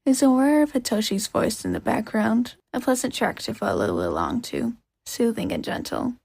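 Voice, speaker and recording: Expressive woman narrator with creaky, husky voice. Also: a little high, moderate pace, no room sound. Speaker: podfic narrator. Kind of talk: expressive scripted fiction narration.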